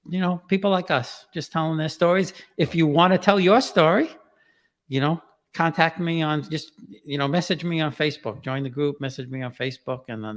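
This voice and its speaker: A man's voice: medium pitch, in a large hall. A man with a New York Queens accent, telling stories in an easygoing way in casual conversation. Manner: storytelling, easygoing, chuckles often. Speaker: podcast host and author. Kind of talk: casual interview chat.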